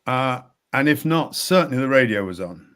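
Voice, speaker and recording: A man, an executive coach, talking slowly and reflectively in a conversation, repeating words as he thinks. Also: mid-range voice, lively intonation, clear, resonant tone. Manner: slow, measured, with repeated words and soft laughs.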